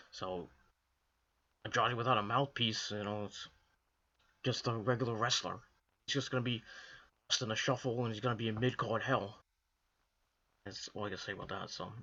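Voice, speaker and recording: A hoarse man from the Northeastern US, coming down with a cold, rambling casually through a wrestling review with lots of restarts and fillers. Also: medium pitch, fairly bright tone, muffled recording. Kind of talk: casual solo commentary to camera.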